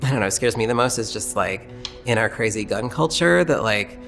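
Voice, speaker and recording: A man's voice: medium pitch, expressive, moderate pace, creaky, music in the background. A man talking casually and a little hesitantly in a documentary interview.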